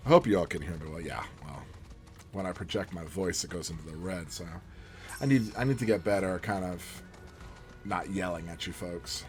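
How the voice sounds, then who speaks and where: Deep-voiced man with a booming voice. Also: normal speed. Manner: booming, relaxed, joking asides. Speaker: philosophy professor playing a game. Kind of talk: casual gameplay commentary. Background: game music and sounds.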